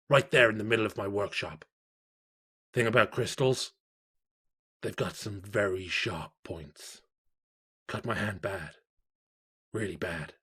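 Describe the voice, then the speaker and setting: Man doing a tired carpenter telling his diary, lowish raspy voice, blunt, musing, horror story. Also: bright, forceful tone, heavily acted. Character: weary, plain-spoken male carpenter confessing in diary. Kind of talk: first-person horror story narration.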